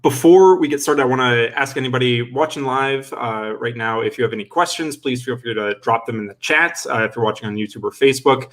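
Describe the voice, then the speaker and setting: A man's voice: medium pitch, brisk, few pauses, fairly bright tone. A young adult man hosting a live-streamed interview webinar over a video call, enthusiastic and full of fillers and restarts. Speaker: webinar host.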